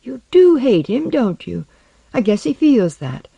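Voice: mid-range voice, very animated, unhurried, gravelly, warm tone, slightly rough, dry recording, pitched above the performer's own voice, heavily acted. A narrator voicing a serene, innocent, flirtatious woman crewmate in a light, coaxing manner.

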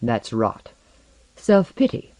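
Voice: mid-range voice, very animated, slow, warm tone, no room sound, pitched below the performer's own voice, poor audio. A narrator voicing a stern, self-possessed woman with a firm, clipped, challenging manner. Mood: indignant.

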